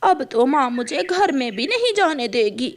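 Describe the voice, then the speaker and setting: A woman's voice: high-pitched, big pitch swings, unhurried, slight background noise, full character voice. A woman voicing a rude, sulky young female bird with a quarrelsome, whiny manner in a cartoon. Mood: downcast, unsure.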